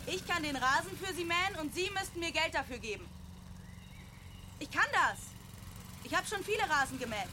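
A woman's voice: high voice, lively intonation, normal speed, long pauses, noisy background. A woman playing a blunt, cheeky teenage runaway girl with terse, matter-of-fact replies in a radio drama. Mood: eager, polite.

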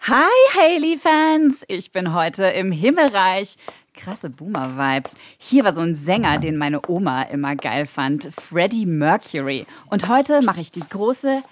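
A woman's voice: fairly low pitch, very animated, a little slow, a little hiss or hum. A woman playing a brash, entitled young influencer in a radio play, slangy and bossy. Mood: gushing. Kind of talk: radio play table read.

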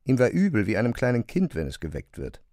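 A man narrating a literary radio drama from a script in a measured storytelling style. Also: mid-range voice, huge pitch swings, mellow, dry recording, clean studio sound.

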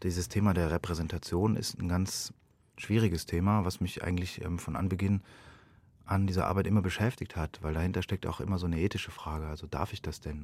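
Male interviewee, very low voice, dry close sound, reflective and earnest. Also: no room sound. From Germany. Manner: thoughtful, earnest, self-questioning. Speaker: interviewed playwright.

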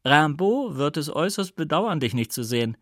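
Male reader as young gentleman, hearty, chatty, mock-annoyed, storybook character, dry recording. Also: on the high side, very animated, normal speed, no echo, voice pushed up. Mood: brisk teasing. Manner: hearty, half annoyed, half joking. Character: breezy, jovial young gentleman friend, chattering and teasing. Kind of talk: audiobook character voice.

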